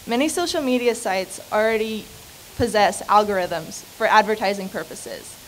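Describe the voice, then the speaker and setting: A woman's voice: mid-range voice, low-quality recording. A late-teen American woman reading her essay aloud into a podium microphone outdoors as a student speaker. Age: late teens. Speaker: student essay contest winner. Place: outdoor podium on building steps. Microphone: stand microphone at podium. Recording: clear hiss behind voice.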